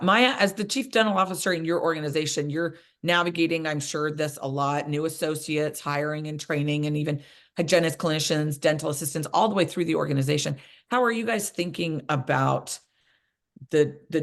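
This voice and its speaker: A woman hosting a conversational panel podcast, speaking as a coach and affirming what her guests say. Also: low-pitched. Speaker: podcast host and business coach.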